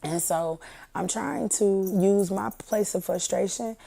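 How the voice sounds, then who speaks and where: A young woman hosting her own podcast while sick, her voice hoarse and partly gone, chatting folksily with listeners she calls family. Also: mid-range voice, slow, dark tone. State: partially lost voice from sickness. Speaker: podcast host. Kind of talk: casual motivational podcast talk.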